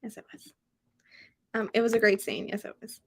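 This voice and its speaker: Woman with a slightly high voice, chatting casually about a book, super agreeable. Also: level tone, a little fast. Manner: agreeable, reacting and affirming. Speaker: book discussion co-host.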